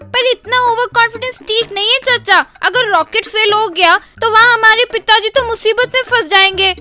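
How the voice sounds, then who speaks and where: A woman plays an outspoken young schoolchild in a cartoon story. The voice is extremely high and bright, earnest in manner, over faint music. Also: normal speed. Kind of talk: cartoon children's story character.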